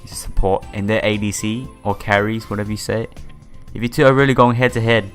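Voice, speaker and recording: A man's voice: fairly low pitch, fairly even intonation, brisk. A man hosting a visual novel playthrough, reading the text aloud with stumbles and casual, sympathetic asides. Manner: stumbles over read lines, sympathetic asides. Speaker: host of a game playthrough. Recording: music behind the voice.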